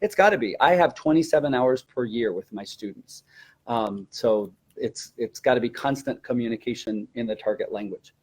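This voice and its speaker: A man with a medium-pitched voice speaks as a teacher trainer. He gives informal, instructive explanations in the style of a webinar discussion. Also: normal speed, breathy edge.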